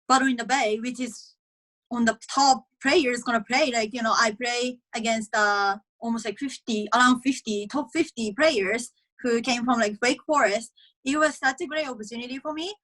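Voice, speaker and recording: Young woman, Japanese accent, non-native English, bright voice, laughs a lot, lots of fillers. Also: fairly high pitch, rough recording. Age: young adult. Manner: giggly, many fillers like "like" and "you know". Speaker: college tennis player. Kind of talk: casual interview, video call.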